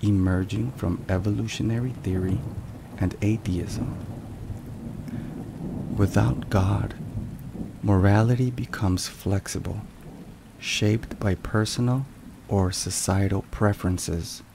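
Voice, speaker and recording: A man giving a scripted, solemn ASMR devotional narration in a soft, measured and deliberate way. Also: fairly low pitch, vocal fry. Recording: steady rain and thunderstorm noise. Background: rain and thunder.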